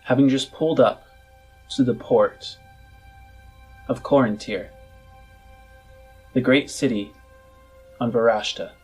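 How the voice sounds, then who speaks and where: A man with a medium-pitched voice speaks straight to the listener as host and game master of a story podcast. Faint music runs beneath his words. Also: slow pace, long pauses, a little mellow, slightly rough. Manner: addresses the listener directly. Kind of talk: podcast announcement, then slow scripted scene narration. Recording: music under the voice.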